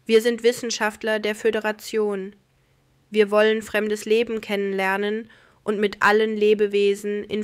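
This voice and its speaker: A composed female starship captain played by a woman in a children's audio story. Her voice is smooth and clear with little pitch movement, formal and diplomatic, recorded dry. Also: no room sound, natural voice.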